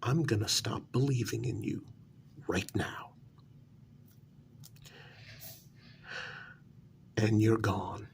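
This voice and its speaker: A man playing a frustrated, delusional psychiatric patient who thinks he created his world, in a soft-spoken ASMR role-play, breathy and often whispering, with halting, pointed questions. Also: on the low side, slight background noise. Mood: resigned, curt.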